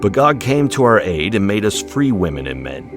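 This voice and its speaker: A man voicing a solemn, compassionate religious leader at a feast, speaking gravely and with measured weight in an audiobook drama. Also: lowish voice, sing-song. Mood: solemn storytelling. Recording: music behind the voice.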